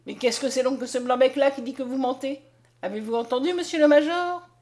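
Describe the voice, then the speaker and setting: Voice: mid-range voice, a little fast, natural voice. A woman narrator voicing a blunt, loyal, grumbling old manservant with a folksy manner in a storybook reading. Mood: indignant. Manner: folksy, indignant.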